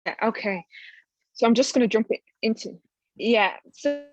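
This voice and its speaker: A woman in her twenties, bright and eager, speaking as a panelist on an online video call. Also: on the high side, normal speed. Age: 20s. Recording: dull lo-fi video call audio.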